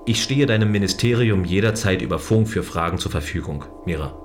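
A man playing a gentle, dutiful guardian of a space station in a science fiction audio drama, speaking in a considerate, earnest, explaining way. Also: low voice, animated, a little fast, soft background music. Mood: apologetic, earnest.

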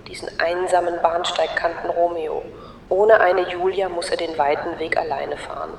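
A woman performing an echoey, distant female loudspeaker voice in a radio play, slightly low. It sounds like a formal station announcer who knows too much and teases. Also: fairly even intonation, normal speed, gravelly. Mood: knowing, teasing. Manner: formal, knowing, teasing. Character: uncanny, meddling female station loudspeaker in a dream. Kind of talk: mock public announcement in audio drama.

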